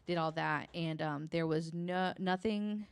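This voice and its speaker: Low, creaky-voiced woman, informal true-crime retelling. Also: steady tone. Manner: halting, hedging, informal, consults notes. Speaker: podcast guest.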